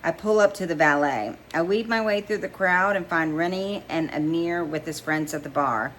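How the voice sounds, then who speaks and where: A woman's voice: on the low side, normal speed, fairly bright tone, a little hiss or hum, low-quality recording. A woman reading romance fiction aloud as a first-person narrator, stumbling now and then and re-reading phrases. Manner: stumbles over words and re-reads phrases. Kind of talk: amateur read-along of romance fiction, first-person storytelling.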